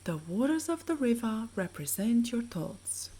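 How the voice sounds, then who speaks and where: A woman narrates a sleep meditation in a soft, breathy voice with a mellow, dark tone. She speaks slowly and soothingly, with lively rises and falls in pitch as she gently guides the listener. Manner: soothing, measured, gently instructing.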